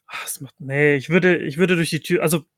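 Höher klingender Mann, laut denkender Rollenspieler. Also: male voice, a little high, steady tone, fairly quick, a bit bright, no room sound, clean studio sound. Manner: thinking aloud, mixing in English game terms. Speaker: tabletop game player. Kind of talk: casual game-table chat.

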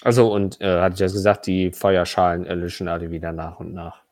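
A man's voice: deep voice, flat delivery, a little fast, darkish tone, no echo. A man improvising narration as the game master of a tabletop role-playing game.

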